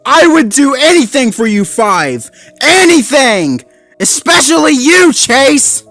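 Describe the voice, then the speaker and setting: A furious, heartbroken pup. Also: male voice, sits high, unhurried, no room sound, soft background music, voice pushed up. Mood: furious shouting. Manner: shouting through tears, then choked and pleading. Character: young male dalmatian pup, clumsy, hurt and furious.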